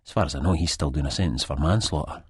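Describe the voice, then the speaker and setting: Deep, very husky Scottish male narrator. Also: fast talker, no echo, studio quality. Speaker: audiobook narrator. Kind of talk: dramatic first-person scripted narration.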